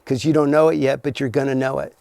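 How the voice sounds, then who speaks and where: A man's voice: medium pitch, fairly even intonation, fast, vocal fry, darkish tone, clear, resonant tone, no echo. A middle-aged man, a podcast guest, chatting casually in a plain-spoken, easygoing way. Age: 40s or older. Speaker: podcast guest, cannabis farmer. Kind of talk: casual chat.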